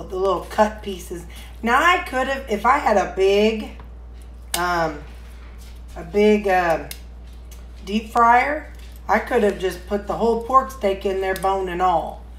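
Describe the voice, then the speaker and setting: A woman's voice: mid-range voice, a little hiss or hum. A woman vlogging as a home cook, chatting casually in a rambling, folksy way while she demonstrates in her kitchen. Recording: roomy, echoey kitchen sound.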